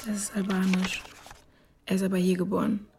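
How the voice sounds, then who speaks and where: A woman's voice: medium pitch, level tone, fairly quick, gravelly, darkish tone, faint music behind, lightly acted. An actress playing a shaken young girlfriend, defensive and sad, giving hesitant answers in a radio drama. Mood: subdued, hesitant.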